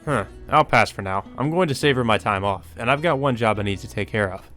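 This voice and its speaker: A man's voice: medium pitch, brisk, a bit bright, natural voice. A man voicing an easygoing, teasing male private investigator in a visual novel, casual and wry. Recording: music behind the voice.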